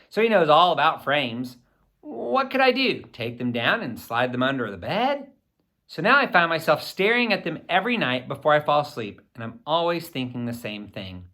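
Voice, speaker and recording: Animated, bright-voiced man reading a children's story. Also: a little high. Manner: animated, theatrical, clearly phrased for children. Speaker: audiobook reader. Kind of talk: expressive first-person children's story narration.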